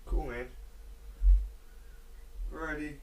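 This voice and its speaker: A man's voice: a little high, steady tone, takes it slowly, a bit bright. New man chatting, distant mic. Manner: hesitant, repeats words and phrases. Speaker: host of own show. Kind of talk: casual rambling chat. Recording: distant mic, faint background noise.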